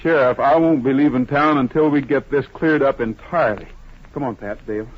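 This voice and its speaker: A muffled vintage radio Western, with a cowboy hero, played by a man in a husky mid-range voice. He is calm and honest, speaking politely and plainly with quiet determination. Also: mid-range voice, brisk, natural voice. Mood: determined. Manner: polite, plain-spoken, earnest. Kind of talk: 1950s radio Western acting. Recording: muffled old-time radio recording.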